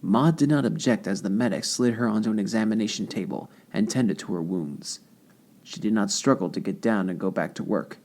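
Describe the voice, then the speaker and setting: A narrator reading dramatic fan fiction in a solemn, measured way with deliberate phrasing. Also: medium pitch. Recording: loud music under the voice. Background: loud music.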